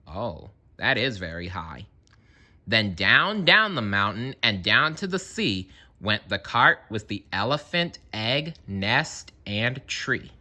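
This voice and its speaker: A man's voice: on the low side, sing-song, slow pace, bright, forceful tone. A man reading a children's story aloud with dramatic emphasis, adding short asides of his own. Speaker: storybook reader. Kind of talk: expressive children's read-aloud narration with asides.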